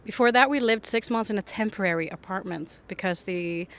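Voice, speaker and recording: A woman's voice: mid-range voice, a little fast, a bit bright. A young woman from Finland, a Finnish native speaker, chatting as a podcast guest on an outdoor bench, laughing often and filling her talk with "like". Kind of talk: casual interview chat. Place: public bench by a harbour outdoors.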